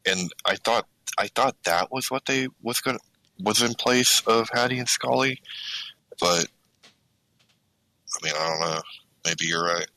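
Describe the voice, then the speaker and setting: A man's voice: fairly low pitch, measured pace, long pauses, sharp tone, low-quality recording. A man co-hosting a podcast, chatting casually in a laid-back way with short agreeing replies. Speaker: podcast co-host. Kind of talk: casual gaming news chat.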